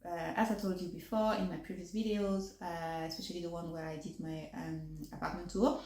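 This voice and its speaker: A French woman chats to camera in English, her second language, hesitating often with fillers and restarts, her speech a little thick from braces. Her voice is lowish and mellow. The room around her rings with echo. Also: little pitch movement, a little fast. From France. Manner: articulation a little off from new braces. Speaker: vlogger. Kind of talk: casual chat to camera.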